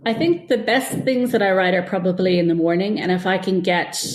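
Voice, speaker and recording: A woman's voice: lowish voice, a little fast. A middle-aged woman talking casually as an interview guest, chatty and informal, thinking aloud as she goes.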